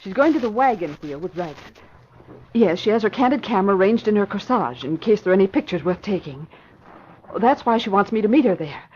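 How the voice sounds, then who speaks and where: An actress plays a keen, efficient secretary in a vintage radio crime play. Her mid-pitched voice comes through a muffled old recording with faint noise. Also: animated, fairly quick, darkish tone, close to an everyday voice. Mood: eager, excited. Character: eager, efficient secretary reporting by telephone. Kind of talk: old-time radio crime drama acting.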